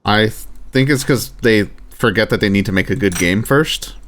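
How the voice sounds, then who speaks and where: A man's voice: fairly low pitch, normal speed, a bit bright, slight background noise. A man chatting casually as a gamer, opinionated and argumentative in a matter-of-fact way. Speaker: gamer chatting during a let's play.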